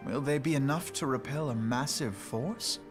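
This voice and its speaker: A man's voice: medium pitch, moderate pace, close to an everyday voice. A man playing an earnest, sceptical young envoy in a fantasy video game, formal and precise, over music. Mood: concerned. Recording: clear music behind the voice.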